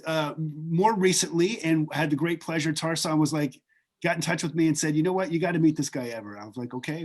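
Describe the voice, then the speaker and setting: A man hosting an online class, introducing a guest speaker in a relaxed, conversational way with frequent fillers. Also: a little high, a little hiss or hum, rough recording. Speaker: class host and moderator. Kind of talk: casual lecture introduction. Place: online video call. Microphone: video call.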